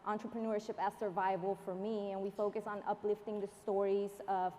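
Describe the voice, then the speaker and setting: A woman's voice: mid-range voice, level tone, brisk, warm tone, slight background noise. A confident woman from Mexico speaking proudly as a panelist at a live panel discussion. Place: large hall stage. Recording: reverberant hall with faint ambience.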